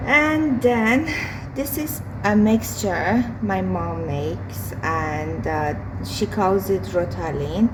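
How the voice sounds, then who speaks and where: A woman with a hoarse voice chats slowly through a home vlog, pausing often on fillers. Her pitch sits in the middle range. Clear street and vehicle noise drifts in through open windows behind her. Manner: slow, unhurried, hesitant with many fillers. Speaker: vlogger. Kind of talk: casual daily vlog while cooking. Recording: home recording with outside traffic noise. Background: street and vehicle noise from outside.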